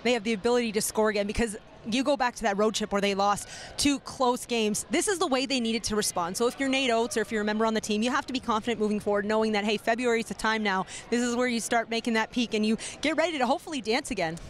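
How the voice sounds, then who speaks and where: A woman giving courtside analysis in an arena, energetic and emphatic, speaking into a handheld mic. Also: a little high, fast talker, slight background noise. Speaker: sports analyst. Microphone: handheld stick mic.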